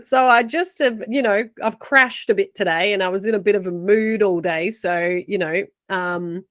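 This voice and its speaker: A woman's voice: medium pitch, normal speed, fairly bright tone, no echo, studio quality. A woman co-hosting a podcast, chatting casually in a playful, teasing way with frequent laughs. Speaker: podcast co-host. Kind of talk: casual chat.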